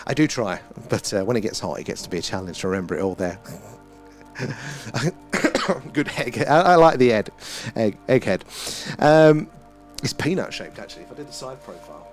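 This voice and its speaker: British male, livestream host, casual rambling chat, musing manner, occasional swearing, average pitch, music in the background. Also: animated, moderate pace. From United Kingdom. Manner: musing, loosely philosophical, mildly sweary. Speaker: live stream host.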